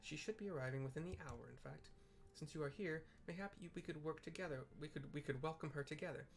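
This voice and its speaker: Casting: man reading as a woman leader in a fantasy game, formal, earnest, measured, faint noise behind. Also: fairly high pitch, gravelly. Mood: grave, resolute. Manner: formal, measured, archaic wording.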